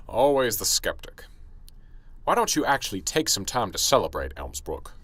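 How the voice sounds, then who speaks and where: A man's voice: fairly low pitch, very animated, a little slow, fairly bright tone, no room sound, full character voice. A man playing an older, weary but self-assured small-town police sergeant, speaking emphatically in an amateur radio drama. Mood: relieved, jovial.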